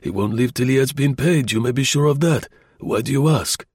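A man voicing a gruff, boastful male revolutionary official who blusters. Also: medium pitch, a little fast, a little mellow, no room sound, clean studio sound, pitched above the performer's own voice, lightly acted. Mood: blustering.

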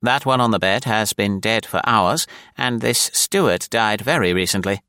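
A slow, unruffled ship's doctor with a precise, measured way of speaking, voiced by a low-pitched male narrator. His voice sits a little lower than average. The recording is dry and close. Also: expressive, pushed and bright, no room sound. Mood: calm. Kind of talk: audiobook character voice.

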